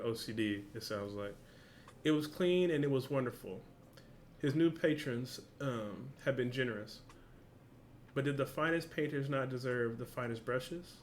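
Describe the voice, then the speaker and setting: A man speaking informally and with slang, as a reaction host who reads a story aloud and comments casually. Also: medium pitch. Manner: informal, slangy, swearing, exclamatory asides. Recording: clear background noise.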